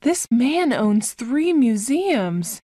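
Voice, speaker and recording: A woman playing an earnest young female amateur detective in a storybook, speaking clearly. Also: a little high, big pitch swings, very slow, mellow, no echo, studio quality, exaggerated voice. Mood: thoughtful, keen. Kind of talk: scripted audiobook dialogue.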